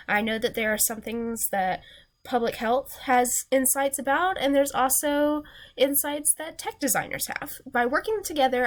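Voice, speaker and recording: Young female student, congested and nasal, slightly high voice, earnest read-through of a class presentation. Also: a bit bright. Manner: earnest, reading from slides. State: recovering from a sinus infection.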